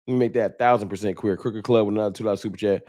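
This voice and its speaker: An American man with a fairly low voice hosts a fan livestream. He talks informally and candidly, addressing his viewers directly in colloquial speech. The sound is close and dry. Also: fairly even intonation, rapid-fire, dark tone, dry recording. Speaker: livestream host, sports fan content creator. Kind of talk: casual livestream talk to viewers, reading chat.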